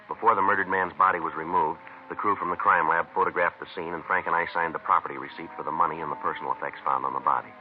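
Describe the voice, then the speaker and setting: Deep American male detective, deadpan, clipped, quick-paced, vintage radio crime narration. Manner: deadpan, terse, matter-of-fact. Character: stoic, clipped male police detective who narrates the case. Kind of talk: 1950s radio crime drama acting and scripted narration. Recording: muffled, noisy old radio recording.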